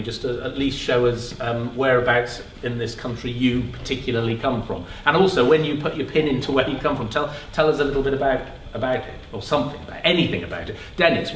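A middle-aged man speaks as a theatre director, setting a task for his actors with encouraging, halting phrasing. His voice sits at a middle pitch and carries the echo of a big rehearsal studio. He sounds a little distant from the camera, with faint noise behind. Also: fairly bright tone. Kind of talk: addressing a rehearsal room. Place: large bright rehearsal studio. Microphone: far camera. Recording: roomy, distant room sound.

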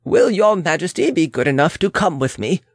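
A man's voice: a little high, big pitch swings, moderate pace, slightly rough, no echo, studio quality, voice pushed up, exaggerated voice. A man voicing a formal, deferential but insistent lawyer and court official in a dramatic audiobook. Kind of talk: dramatic audiobook character reading.

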